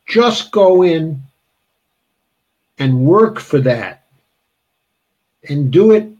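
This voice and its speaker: A man's voice: a little high, lively intonation, extremely slow, darkish tone, slightly rough, highs cut off. A man hosting a political interview, hesitant with many fillers but blunt and emphatic in his views. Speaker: interviewer, host.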